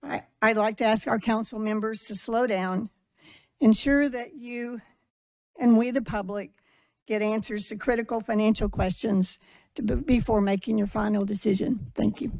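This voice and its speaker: A woman giving a measured public comment at a podium microphone in a meeting hall, asking pointed questions. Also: on the high side, steady tone, gravelly, a little mellow.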